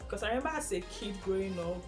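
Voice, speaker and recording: A young Nigerian woman with an Igbo first language, chatting casually through a question tag video in a lively, rambling way. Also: medium pitch, fast, music in the background. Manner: chatty and animated, with frequent fillers and laughs. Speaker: vlogger.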